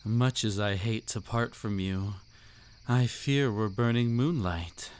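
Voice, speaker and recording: A man's voice: lowish voice, slow pace, darkish tone, a little hiss or hum. A man playing a seductive, commanding vampire count in an intimate audio roleplay, suave, teasing and theatrically formal. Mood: intimate, possessive. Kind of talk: intimate roleplay audio drama, scripted monologue.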